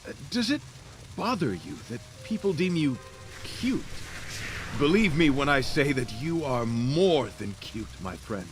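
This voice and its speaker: A man's voice: on the high side, very animated, measured pace. A man acting a chivalrous, earnest knight in a video game, speaking in a formal, courtly, theatrical way. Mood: courtly, admiring. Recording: music behind the voice.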